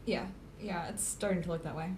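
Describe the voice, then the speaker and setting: A woman playing a low-key, practical young superhero in an audio drama, with an understated delivery. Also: fairly quick, a little mellow, breathy edge.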